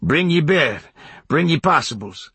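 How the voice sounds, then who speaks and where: A man's voice: a little high, big pitch swings, measured pace, bright, forceful tone, slightly rough, dry recording, pitched above the performer's own voice, exaggerated voice. A man voicing a half-mad, agitated old hermit in an audiobook, with clipped, repetitive speech. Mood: urging. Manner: clipped rustic speech, repeats himself emphatically. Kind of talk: audiobook character voice.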